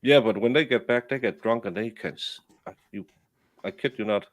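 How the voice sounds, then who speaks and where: A man's voice: lowish voice, fairly quick, dry recording. Man telling rambling stories, dry sound. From Denmark. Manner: rambling storyteller with many restarts. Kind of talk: casual chat on a stream.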